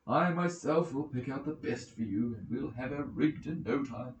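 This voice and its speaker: A man's voice: mid-range voice, lively intonation, moderate pace, a little hiss or hum, voice pushed up, lightly acted. A man voicing a goddess disguised as a wise old male mentor in an epic audiobook, encouraging and emphatic. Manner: emphatic, commanding, reassuring. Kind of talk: dramatic audiobook character reading. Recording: roomy echoing home recording.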